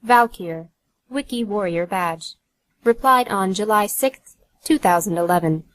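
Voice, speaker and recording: A woman's voice: medium pitch, a little slow, low-quality recording. A woman narrating an audiobook from a script in a neutral, announcing manner.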